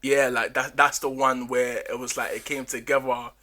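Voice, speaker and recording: Bright-toned young man, sitting at a desk mic in a small podcast studio. He is from Ghana and tells his story in loose, slangy chat, full of fillers and restarts. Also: medium pitch, flat delivery, moderate pace. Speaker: guest musician. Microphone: desk mic with headphones.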